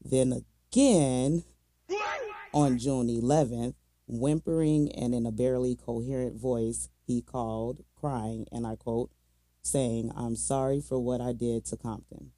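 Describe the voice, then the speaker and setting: A man's voice: mid-range voice, fairly even intonation, unhurried, dark tone. An American man co-hosting a true crime podcast, telling the case casually in slangy, colloquial language. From Minnesota, United States.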